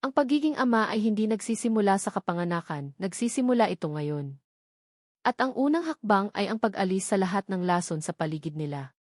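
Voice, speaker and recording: A woman's voice: medium pitch, lively intonation, fairly quick, a little mellow, no echo, clean studio sound. Solemn woman narrating dramatic love story.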